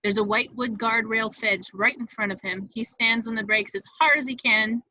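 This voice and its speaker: A woman's voice: fairly high pitch, moderate pace, bright, forceful tone, lo-fi sound. A woman reading scripted stage directions as the narrator of a table read, in a vivid storytelling manner.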